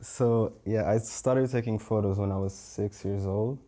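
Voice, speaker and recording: A young man from Portugal, a visual artist speaking as a panel guest in a relaxed, rambling way with many fillers. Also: fairly low pitch, measured pace. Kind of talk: casual conversational talk.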